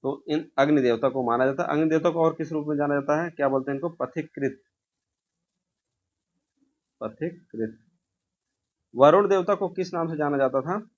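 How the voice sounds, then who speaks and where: A man's voice: fairly high pitch, normal speed, long pauses. A man teaching an informal exam review, asking questions and answering them himself, repeating key answers. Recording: dull, lo-fi sound.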